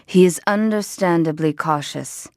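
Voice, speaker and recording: A woman playing a commanding, ruthless female crime boss in a video game, controlled and deliberate with a dry, seductive tease. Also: fairly low pitch, a little mellow. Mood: cool, commanding. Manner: controlled, deliberate, dryly teasing. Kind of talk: video game cutscene acting.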